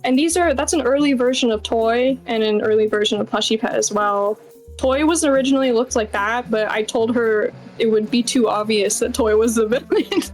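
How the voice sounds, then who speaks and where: A woman speaks as a guest game developer in a casual interview. Her voice sits fairly high and she talks informally, with plenty of conversational asides. Music plays behind her. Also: moderate pace, rough recording. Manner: chatty, informal, many "like" and "you know" asides.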